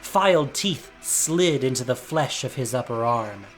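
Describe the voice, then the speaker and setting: A man's voice: mid-range voice, expressive, unhurried. A man reading a scripted, dramatic story as its narrator. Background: music.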